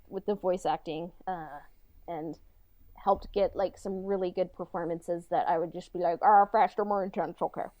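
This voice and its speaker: A woman co-hosting a podcast, chatting casually in a halting, filler-heavy way on a slightly dull microphone. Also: medium pitch, dry recording. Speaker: podcast co-host and audio drama director. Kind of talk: casual behind-the-scenes chat.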